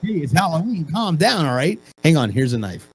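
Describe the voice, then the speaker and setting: A husky-voiced man in casual chat, joking crudely and swearing freely. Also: a little high, very animated, fairly quick.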